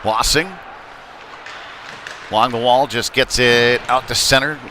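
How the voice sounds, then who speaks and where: A man giving live play-by-play commentary on ice hockey in a halting way, with frequent pauses. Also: medium pitch, steady tone. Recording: noisy live rink broadcast.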